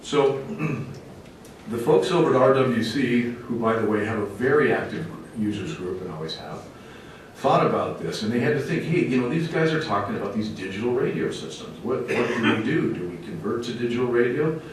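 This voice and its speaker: A man's voice: lively intonation, moderate pace. A man speaking as a fire officer giving a public presentation to a committee, in an emphatic, plainspoken, folksy way. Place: large echoey indoor meeting room. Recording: very echoey room, distant mic, faint rumbling noise.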